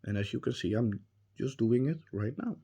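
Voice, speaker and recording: A man with a Dutch accent, a native Dutch speaker, giving a hesitant, earnest spoken testimonial with many pauses and restarts. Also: mid-range voice, lively intonation, creaky, mellow, breathy edge. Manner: earnest and emphatic. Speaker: reviewer.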